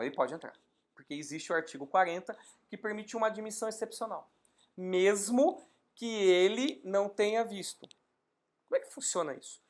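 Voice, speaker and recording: Animated woman lecturing on law. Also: lowish voice. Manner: explains step by step with rhetorical questions; lively, animated emphasis. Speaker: law instructor. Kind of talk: exam-prep legal lecture.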